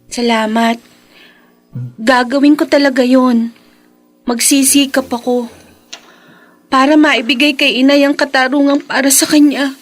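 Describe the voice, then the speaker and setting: High-voiced, earnest young daughter. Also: female voice, fairly even intonation, a little slow, long pauses, faint music behind. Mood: resolute. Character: earnest young woman, bereaved daughter, proud and determined. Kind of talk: radio drama acting.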